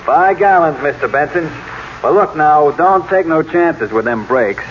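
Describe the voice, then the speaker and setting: Worried working joe from a garage, folksy and hesitant, old radio play, dull hissy audio. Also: male voice, fairly high pitch, lively intonation, measured pace. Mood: worried, nagging. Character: plain-spoken, worried working-class garage man. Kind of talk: old-time radio drama acting. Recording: muffled, noisy old radio recording.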